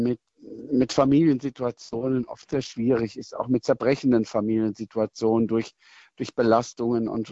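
A middle-aged man, a military chaplain, answering interview questions in a thoughtful, measured way with hesitations and restarts. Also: medium pitch, darkish tone, no room sound, lo-fi sound.

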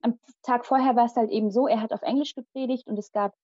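Young woman, malayalam first language, dramatic storyteller, fairly high mellow voice, dull dry lo-fi sound. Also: fairly even intonation, fast, no room sound. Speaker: guest. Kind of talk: personal storytelling in conversation.

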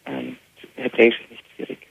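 A young man, a native Arabic speaker with an Austrian German accent, answering a radio interview by phone in an easy manner with many filler pauses. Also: mid-range voice, level tone, vocal fry, husky. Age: young adult. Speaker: interview guest, band musician. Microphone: telephone. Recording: tinny phone line with faint hiss.

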